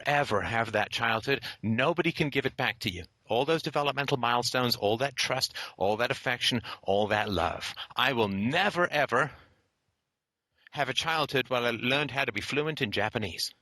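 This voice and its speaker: Forceful, lecturing male call-in host. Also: mid-range voice, animated, moderate pace, no room sound. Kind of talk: blunt philosophical call-in talk.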